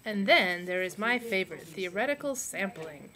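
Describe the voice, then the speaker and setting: A woman voicing a deadpan male sociologist puppet, reading out a comic lecture in a casual way. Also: mid-range voice, moderate pace, crowd noise, natural voice. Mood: lecturing.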